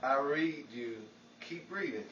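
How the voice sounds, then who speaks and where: An actor playing a mischievous, broke movie bit-player who wisecracks cockily, in the style of 1940s radio comedy. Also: male voice, a little high, slow pace, a loud crowd. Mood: wry. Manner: wisecracking, cocky banter. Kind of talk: 1940s old-time radio comedy acting. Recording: echoey, noisy, muffled, distant radio-play recording.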